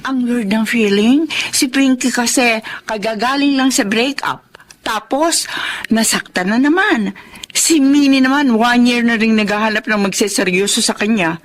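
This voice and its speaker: A woman's voice: fairly high pitch, moderate pace, natural voice. An actress playing a blunt, exasperated young Filipino woman who bosses her friends into making peace in a radio drama. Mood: worried, gossiping. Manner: blunt, animated, exclaiming.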